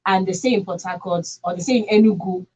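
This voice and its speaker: A woman's voice: on the low side, fairly even intonation, fast talker, dark tone, slightly rough, lo-fi sound. A Nigerian woman hosting a live radio call-in show from a studio.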